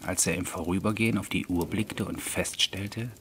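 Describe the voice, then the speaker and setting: A male narrator with a fairly low voice reads an eerie literary story. The delivery is scripted audiobook narration, and the recording carries clearly audible noise. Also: steady tone, moderate pace.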